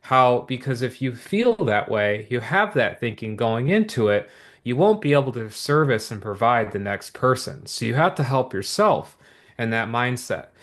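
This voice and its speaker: Energetic man giving a motivational sales pitch. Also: moderate pace. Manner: energetic, repetitive motivational patter with many asides. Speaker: business opportunity presenter. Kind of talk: upbeat sales pitch to viewers.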